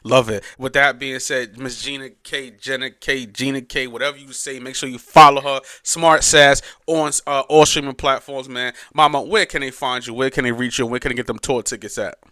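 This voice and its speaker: A man's voice: mid-range voice, steady tone, normal speed, hardly any pauses, sharp tone, no room sound, studio quality. A man with a New York accent hosting a casual podcast interview in laid-back slang, leaning on repeated catchphrases. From New York, United States. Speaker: podcast host and radio DJ.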